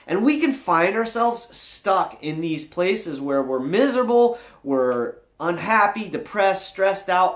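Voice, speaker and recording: A man's voice: high-pitched, roomy sound. A man talking candidly to viewers as a vlog host, earnest, confessional and emphatic, in a motivational style.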